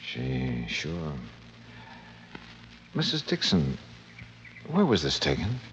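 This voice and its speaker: An American actor playing a cool, courteous, probing insurance investigator who also narrates, in 1950s radio detective drama style. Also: male voice, very deep voice, huge pitch swings, slow, a bit bright, heavily acted. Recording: muffled, noisy old radio recording.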